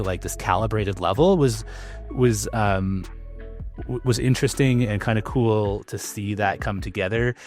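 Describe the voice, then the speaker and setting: Chatty man recapping business work. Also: on the low side. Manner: chatty, many fillers and restarts. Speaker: host. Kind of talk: casual business conversation.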